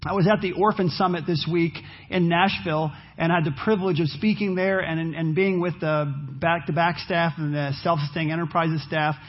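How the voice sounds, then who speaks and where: A man's voice: a little high, steady tone, a little fast, few pauses, lo-fi sound. A man preaching a sermon as pastor, losing his voice, talking earnestly and restarting his phrases.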